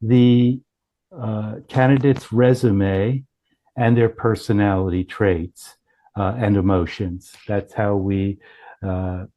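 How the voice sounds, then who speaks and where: A man's voice: fairly low pitch, fairly even intonation, slow pace, highs cut off. A man giving an informal product presentation as a company founder, explaining things with frequent self-corrections.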